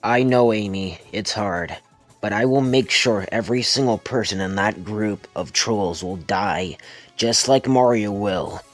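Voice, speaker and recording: A man's voice: on the low side, a little slow, faint music behind, natural voice. A man voicing a stern, irritable, vengeful hedgehog leader in an amateur comic dub, curt and scolding. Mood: grimly vengeful.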